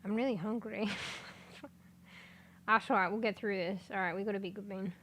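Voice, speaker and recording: A congested woman chatting casually on a livestream, rambling, swearing and breaking into sudden loud exclamations as she reads things aloud. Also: medium pitch, steady tone, normal speed, a little hiss or hum. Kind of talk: casual stream chat, reading aloud.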